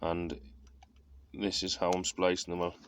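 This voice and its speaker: Male DIY vlogger, fairly low pitch, informal and self-deprecating, talking through a hands-on job over noisy ambience. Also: monotone, unhurried. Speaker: car DIY video host. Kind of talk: casual hands-on demonstration, thinking aloud. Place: in or at a car. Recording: clear background noise.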